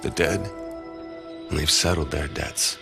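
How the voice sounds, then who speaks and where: An actor playing a somber, weary soldier, narrating a video game in a measured, brooding way. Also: male voice, rumbling low, creaky, exaggerated voice. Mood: somber, reflective. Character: somber male soldier reflecting on loss, grim and weary. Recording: loud music under the voice.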